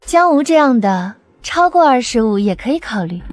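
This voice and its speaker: Teasing, high-voiced young society woman an actress's role. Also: very animated, normal speed, slight background noise. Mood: playful. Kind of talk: dramatized dialogue in an animated web drama.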